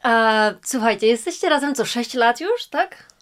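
A woman interviewer asking measured, probing questions. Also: on the high side, animated.

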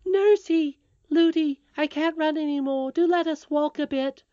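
A woman's voice: high-pitched, unhurried, mellow, no room sound, dull audio, pitched above the performer's own voice. A woman voicing a curious, fearless little princess in a storybook, chattering insistently. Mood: plaintive.

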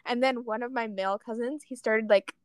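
A young woman co-hosting a podcast, chatting casually and telling quick stories with asides. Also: fairly high pitch, fairly even intonation, crowd noise. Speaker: podcast co-host. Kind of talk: casual chat.

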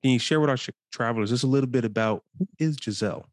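A man's voice: medium pitch, rapid-fire, no room sound, clean studio sound. A man hosting an interview podcast in a casual, conversational style. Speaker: podcast host.

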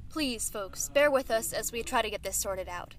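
A woman's voice: a little high, moderate pace, faint music behind, close to an everyday voice. A woman playing a polished TV anchor. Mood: composed. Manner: polite, professional broadcast delivery. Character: composed female news anchor, adult. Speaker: broadcast reporter.

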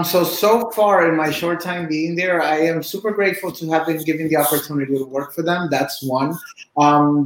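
A young man from Cuba answers interview questions in a friendly, chatty way, with lots of ums. His voice sits fairly high for a man. The room is echoey. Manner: upbeat, chatty, many fillers. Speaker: bartender and real estate agent.

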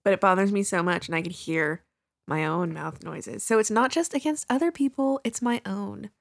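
A woman's voice: mid-range voice, normal speed, creaky, no room sound. A young woman co-hosting a podcast on a studio mic, talking animatedly and laughing often. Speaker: podcast co-host. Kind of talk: casual chat.

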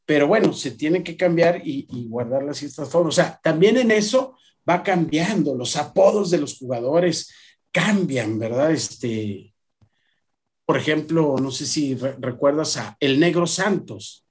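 A man's voice: on the high side, a little slow. A man, a professor chatting in an anecdotal way with frequent fillers. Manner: lively, anecdotal, many fillers. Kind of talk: conversational discussion.

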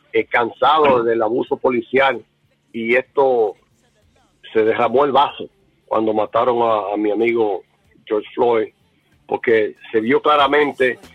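A man from the Dominican Republic talks earnestly with a husky, breathy voice. His sound is dry and close but comes through a dull, muffled line. He often repeats a word while he searches for the next one. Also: mid-range voice, no echo. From Dominican Republic, raised in Puerto Rico. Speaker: interviewee, bar owner. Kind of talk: remote interview.

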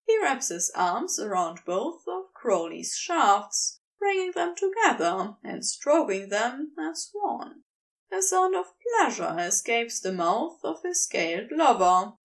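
A woman narrates fiction in an expressive, unhurried audiobook style. Her voice is fairly high and very melodic. She sounds a little distant from the microphone.

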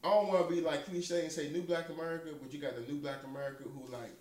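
Man, thirty, a bit high, rambling arguer, sits back from the mic in an echoey room. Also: steady tone, quick pace, a little mellow, far from the mic. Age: 30s. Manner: rambling, argumentative, profane reasoning. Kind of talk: casual chat.

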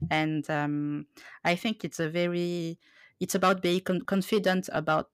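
A woman hosting a podcast, talking slowly and haltingly and restarting her words often. Her voice sits very low for a woman, and the sound is dry and close. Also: flat delivery, clean tone, dry recording. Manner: hesitant, halting, many restarts. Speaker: podcast host. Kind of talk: casual podcast conversation.

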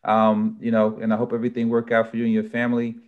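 A man hosting a podcast, thanking his guest and wrapping up the interview in a relaxed, friendly way. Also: mid-range voice, fast talker, narrow, tinny audio. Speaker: podcast host.

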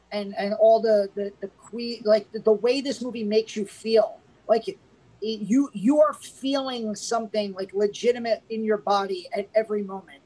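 A man's voice: high-pitched, a little mellow, poor audio. A man co-hosting a casual film review podcast, rambling with many restarts and fillers. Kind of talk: casual chat.

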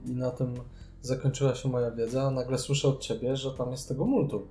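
A man's voice: mid-range voice, little pitch movement, brisk, dark tone, soft background music. A man chatting casually about board games as a podcast co-host. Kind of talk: casual board game discussion.

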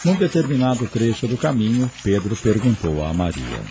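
A man narrating a scripted radio drama in a measured, solemn storytelling style. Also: lowish voice, very animated, dark tone, a little hiss or hum.

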